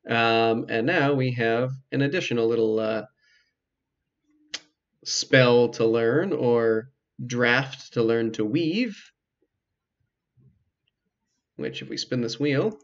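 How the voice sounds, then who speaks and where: A man giving casual, measured commentary as he hosts a video game walkthrough, explaining things hesitantly with dry asides. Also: mid-range voice, highs cut off. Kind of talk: casual let's play commentary.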